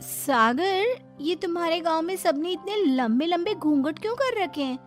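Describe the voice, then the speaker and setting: A woman's voice: very high-pitched, animated, normal speed, soft background music, voice pushed up. A woman playing a defiant, outspoken, modern young city bride in an audio story, questioning everything indignantly.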